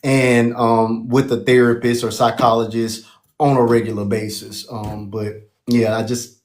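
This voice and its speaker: A man hosting a casual live-stream panel, speaking in a relaxed, halting way. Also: mid-range voice. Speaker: host of a live panel show.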